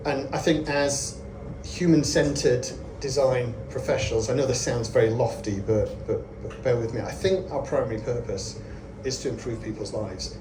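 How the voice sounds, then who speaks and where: A man in his forties giving a friendly, self-deprecating lightning talk at a meetup, chatting casually with lots of fillers. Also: mid-range voice. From Scotland. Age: 40s. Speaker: conference speaker. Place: event venue with audience. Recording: echoey room with ambient noise.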